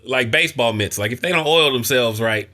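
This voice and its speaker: A man's voice: mid-range voice, brisk, sharp tone. A man in his mid-thirties co-hosting a podcast, talking in casual chat with lots of laughter and teasing. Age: 30s. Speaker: podcast co-host and college soccer coach.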